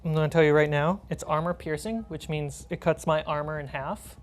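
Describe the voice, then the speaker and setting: Woman game master, on the lower side. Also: brisk. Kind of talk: narrating and refereeing a tabletop role-playing game.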